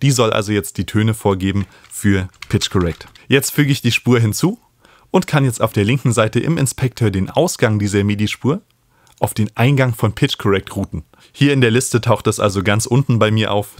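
A man, explaining music software as an instructor in a tutorial. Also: lowish voice, normal speed, no echo, studio quality. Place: small home studio, indoors. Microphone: studio microphone up close.

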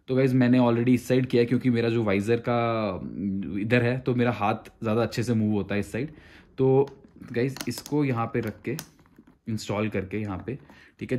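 Man, thirties, casual tutorial, conversational, step-by-step, English phrases mixed in, mid-pitched voice. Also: darkish tone. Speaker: motorcycle gear reviewer. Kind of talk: casual hands-on product tutorial.

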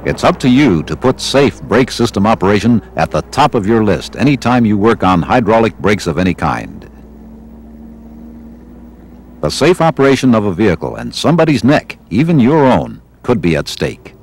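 An American man reading scripted instructional narration for a training film in a measured, didactic way. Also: fairly low pitch, big pitch swings, a little hiss or hum. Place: studio voice-over.

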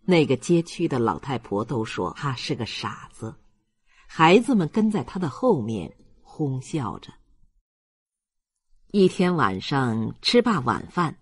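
A male audiobook narrator with a husky voice. He reads literary prose slowly and expressively, his pitch moving in a lively way. The sound is close and dry. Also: dry recording.

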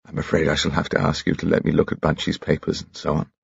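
A man voicing a restrained, determined gentleman detective in an audiobook. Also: rumbling low, completely flat delivery, fast talker, gravelly, breathy edge, dry recording, highs cut off, exaggerated voice. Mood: grimly resolved. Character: grave, determined gentleman detective, vengeful friend.

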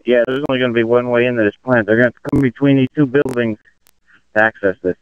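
A man's voice: medium pitch, steady tone, fast talker, clear, resonant tone, dry recording. A man, a fire captain, giving emergency radio traffic through a two-way radio. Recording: muffled, band-limited radio transmission.

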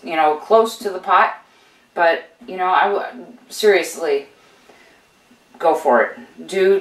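A woman's voice: on the low side, fairly bright tone, recorded from a distance. An older woman hosting a casual homesteading how-to, chatting encouragingly as she shows gear, with plenty of fillers and restarts. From United States. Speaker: homesteading channel host. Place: home kitchen with steel counters, indoors. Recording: roomy echo, voice off-mic.

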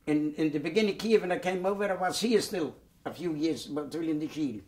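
A man, giving oral history testimony in a halting way, with many repeated words and restarts. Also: on the high side. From Poland. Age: 90s. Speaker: Holocaust survivor giving testimony. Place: dark studio set, indoors.

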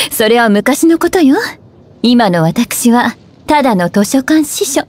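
A woman's voice: sits high, big pitch swings, measured pace, exaggerated voice. A woman voicing a playful, teasing older-sister witch in an anime game, with languid delivery. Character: playful older-sister type, former witch turned librarian.

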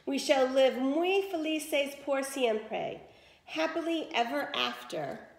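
A woman reading aloud, voicing an eager, courteous young man in a storybook with a bright, lifted voice. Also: sits high, animated, slow, gravelly, echoey room, voice pushed up, heavily acted. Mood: hopeful. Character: eager young male suitor, warm and courteous.

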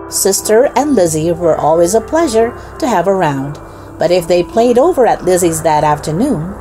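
A woman narrating a children's storybook as a read-aloud host, with expressive, deliberate delivery for young listeners. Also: fairly low pitch.

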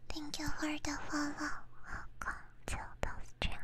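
A woman whispering softly and breathily in casual, playful ASMR live-stream chat, close to a binaural microphone. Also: sits high, completely flat delivery, unhurried. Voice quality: breathy, mostly unvoiced whisper. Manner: soft, informal, playful. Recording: close binaural mic, audible background noise.

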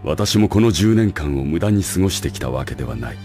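A man playing a calm, scheming school director, measured and formal, in an anime audio drama. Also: low-pitched, soft background music. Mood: calm, calculating. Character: calm, calculating male school director with secret plans.

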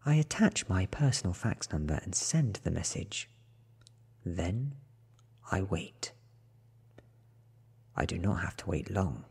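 Male ASMR reader, thirties: low, very breathy, soft-spoken and deliberate narration. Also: very animated. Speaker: audiobook reader. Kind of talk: soft-spoken ASMR book reading, partly whispered.

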